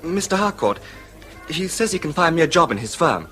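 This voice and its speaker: An actor playing an earnest, ambitious young English son in a period radio drama, eager and insistent. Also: male voice, a little high, very animated, fairly quick, fairly bright tone, faint music behind. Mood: earnest, uneasy. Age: young adult.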